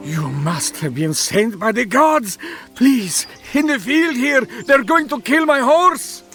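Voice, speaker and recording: Frantic, very high-voiced male farmer. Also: expressive, slow pace, fairly bright tone, faint music behind, exaggerated voice. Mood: frantic, pleading. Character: frantic farmer in a besieged ancient village. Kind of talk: video game character dialogue.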